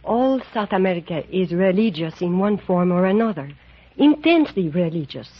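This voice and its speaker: Husky, grave Spanish-accented widow. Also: female voice, fairly low pitch, expressive. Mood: solemn, ominous. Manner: solemn, measured, ominous. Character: grave, foreboding South American widow guide. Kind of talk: old-time radio drama acting. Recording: muffled vintage radio drama recording.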